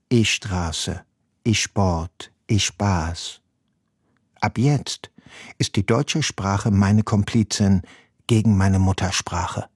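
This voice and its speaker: A man reading a first-person novel excerpt in a slow, deliberate storytelling manner. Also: lowish voice, a little mellow, slightly rough, no room sound, clean studio sound. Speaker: reader.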